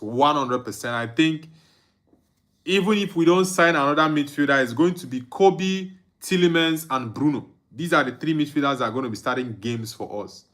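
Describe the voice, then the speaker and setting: Young male streamer, unhurried and slightly high-voiced, reads out viewer comments and reacts to the match. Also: pushed and bright. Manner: reads viewer comments aloud, chuckles, hums and sings under his breath. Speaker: watchalong streamer. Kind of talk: casual live match commentary and chat reading.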